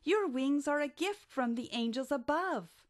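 A woman's voice: sits high, animated, a little slow, no echo, studio quality, voice pushed up. A woman voicing a caring, bossy female snail who urges her friend on emphatically in a children's storybook. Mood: loving, urging. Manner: emphatic, urging.

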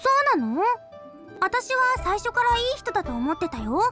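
A cheerful, kindly young cook from an anime-style game. Her voice is very high and girlish, and she talks in an open, easygoing, friendly way over clear background music. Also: very animated, normal speed. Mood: warm, easygoing.

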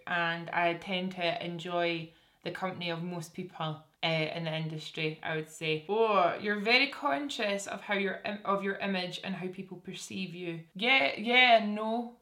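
A young Scottish woman talks straight to her camera in a small curtained room, her voice sitting a little low. She chats loosely and self-deprecatingly, tripping over her own restarts and laughing things off. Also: fairly bright tone. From Scotland. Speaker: beauty vlogger. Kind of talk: casual chat answering viewer assumptions.

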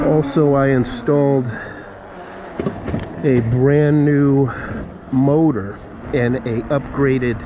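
Man talking over loud road noise. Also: medium pitch. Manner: rambling, with fillers and self-corrections. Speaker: e-bike vlogger. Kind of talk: casual narration while riding. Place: outdoors on city streets at night, riding a bike.

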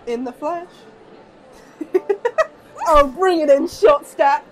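A woman's voice: high-pitched, takes it slowly, low-quality recording. A woman voicing a brash, hearty pony deputy, tough but fond and commanding, in an audio drama.

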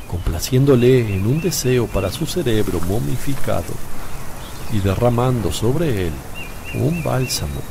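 An actor playing a calm, wise male teacher with gentle authority in a solemn religious radio play, speaking in a measured way. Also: lowish voice, vocal fry, background noise. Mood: calm, reassuring. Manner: measured, deliberate. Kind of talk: slow, solemn radio drama acting.

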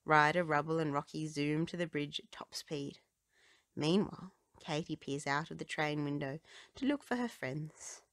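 A woman reading a children's storybook aloud with expressive, dramatic phrasing. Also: low-pitched, moderate pace, dry recording, clean studio sound. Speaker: children's book reader.

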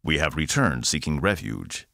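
A man voicing a solemn, formal male alien who speaks slowly and with measured care. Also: rumbling low, sing-song, creaky, bright, forceful tone, dry recording, studio quality, heavily acted.

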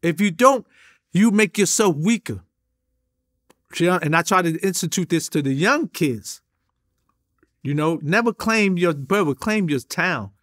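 A middle-aged man with a New York accent talks in an emphatic, streetwise way, packing his speech with slang. His voice sits a little higher than most men's, and he gestures as he tells his stories as an interview guest. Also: lively intonation, measured pace, pauses often, fairly bright tone. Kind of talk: animated street storytelling. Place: indoor studio with a drum kit.

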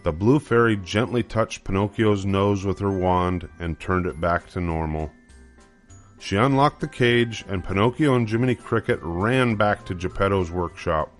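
A man's voice: sits low, normal speed, soft background music. An older man reading a children's story aloud as scripted narration. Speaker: storybook reader.

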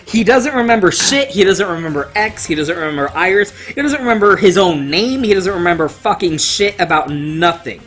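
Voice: mid-range voice, lively intonation, fairly quick, hardly any pauses, fairly bright tone. A gamer giving casual, emphatic let's play commentary, swearing freely and joking along the way. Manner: profane, jokey, emphatic. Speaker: gaming video host playing and commentating. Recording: game music under the voice. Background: video game music.

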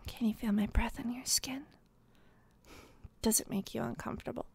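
A woman playing a teasing, obsessed female detective in a whispery, deliberate ASMR roleplay. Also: a little high, fairly even intonation, a little mellow, full character voice. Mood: teasing, probing. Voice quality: breathy, whispery. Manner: slow, deliberate, teasing, pausing. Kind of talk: ASMR roleplay, scripted intimate monologue.

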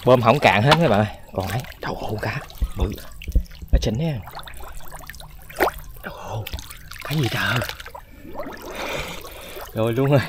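An excitable man narrates his own outdoor vlog at a middle pitch, breaking into exclamations and laughter. Noticeable background noise runs under his voice in this outdoor phone recording. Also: normal speed, long pauses. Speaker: vlogger. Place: outdoors at a drained pond.

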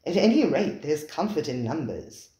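A reader voicing a worldly, suave man who speaks in a measured, knowing way. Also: medium pitch, sing-song, mellow, natural voice. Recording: roomy echoing room.

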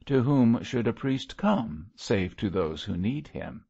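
An earnest young gentleman parson from a storybook, played by a male narrator. He speaks formally and piously, with a nervous edge and lively swings of pitch in a slightly husky voice. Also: takes it slowly, dull audio, exaggerated voice. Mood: pious, imploring.

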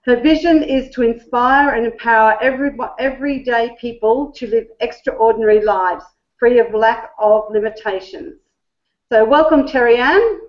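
A woman's voice: fairly high pitch, moderate pace. A woman hosting a webinar, reading a prepared introduction of the speaker with a few fillers. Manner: reads from a script with some fillers. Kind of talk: read-out introduction. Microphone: conference call line. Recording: dull lo-fi call audio.

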